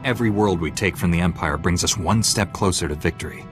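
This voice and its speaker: A man's voice: deep voice, fast, lightly acted. An actor voicing a determined male Jedi knight in a video game, speaking with firm resolve. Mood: resolute. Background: music.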